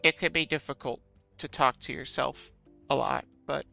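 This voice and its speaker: A man's voice: medium pitch, steady tone, normal speed, vocal fry, pushed and bright, faint music behind. A man streaming a game, chatting casually with viewers in a musing, self-deprecating way.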